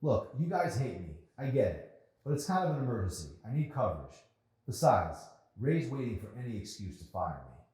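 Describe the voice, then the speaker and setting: Man playing a teenage boy, awkward, defensive, grudging, audiobook dialogue, raised pitch, echoey room. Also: big pitch swings, normal speed, dark tone, natural voice. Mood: pleading, annoyed.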